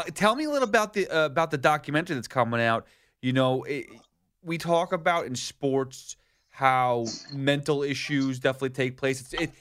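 A man's voice: a little high, a bit bright. A man in his late thirties hosting a podcast, asking long, rambling questions with many restarts. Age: 30s. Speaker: podcast host. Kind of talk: interview questions.

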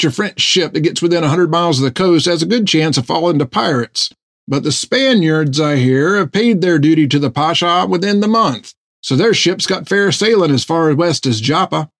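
A man doing a high gushing sailor. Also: expressive, normal speed, no echo, studio quality. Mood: chatty. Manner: folksy, rambling, gushing. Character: excitable food-loving male sailor. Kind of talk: audiobook character voice.

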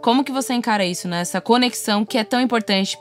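A woman's voice: fairly high pitch, racing, faint music behind. A woman with a Brazilian accent asking questions conversationally as the host of an interview.

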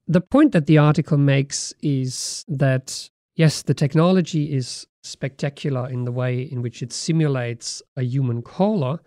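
A man's voice: medium pitch, mellow, no echo, clean studio sound. A man co-hosting a podcast, discussing in a casual way and asking rhetorical questions. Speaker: podcast co-host.

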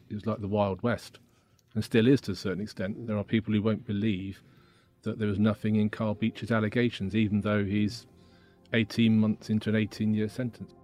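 A man's voice: fairly low pitch, darkish tone. A man, a journalist interviewing his guest with direct, prompting questions.

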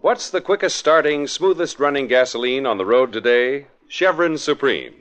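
A man's voice: medium pitch, expressive, normal speed, a bit bright, faint music behind. A male announcer reading a scripted radio commercial and narration in a polished, emphatic way, on an old radio recording with an orchestra.

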